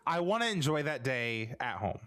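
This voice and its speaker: A man's voice: mid-range voice, very animated, normal speed, fairly bright tone. A man co-hosting a podcast in casual, playful chat, joking and laughing often. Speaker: podcast co-host.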